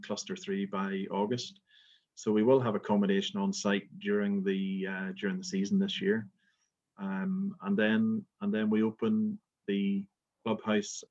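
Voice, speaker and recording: A man's voice: fairly low pitch, lo-fi sound. A middle-aged man from Belfast with a Belfast accent, a golf resort manager answering interview questions with many fillers and restarts. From Northern Ireland.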